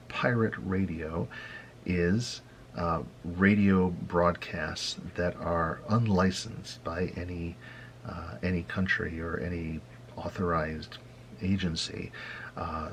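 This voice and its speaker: Deep voiced american guy doing a casual how-to talk, kinda halting and wry, bit of noise behind him. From United States. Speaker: host of a hobby radio tutorial. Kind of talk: informal explanatory presentation.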